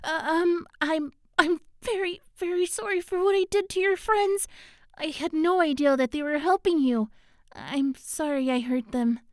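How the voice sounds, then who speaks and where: A woman voicing a young succubus girl in an animated series, wistful and devoted, with a melodramatic, plaintive delivery. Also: extremely high pitch, measured pace, no room sound, studio quality. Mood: apologetic. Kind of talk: animated web series voice acting. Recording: music behind the voice.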